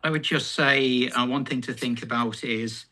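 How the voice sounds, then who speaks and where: A man's voice: mid-range voice, steady tone, normal speed, bright, forceful tone. A male company executive giving informal, unscripted answers to analysts on an earnings call.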